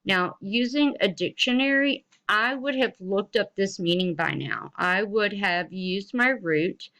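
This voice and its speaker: A woman's voice: medium pitch, unhurried, fairly bright tone. A woman giving an instructional lesson as a teacher, explaining things informally and encouragingly to students.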